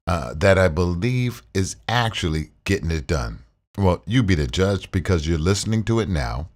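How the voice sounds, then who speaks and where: Deep baritone man, laid-back tech talk. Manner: relaxed, repetitive, uses fillers. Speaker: tech reviewer. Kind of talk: casual tutorial with screen share. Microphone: condenser studio mic.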